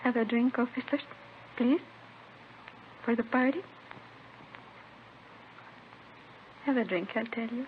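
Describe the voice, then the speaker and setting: Tinny vintage radio actress as a high-voiced desperate wife. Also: female voice, moderate pace, close to an everyday voice. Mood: weary, resigned. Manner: pleading, insistent denials in short phrases. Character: desperate young wife clinging to a stolen baby. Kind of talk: 1950s radio drama acting. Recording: tinny, noisy old radio recording.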